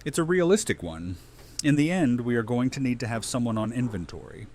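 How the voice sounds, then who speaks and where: A man's voice: mid-range voice, lively intonation, fairly quick, darkish tone, a little hiss or hum, natural voice. A man voice-acting a good-humoured, practical father and survivor in an audio drama, easygoing and matter-of-fact.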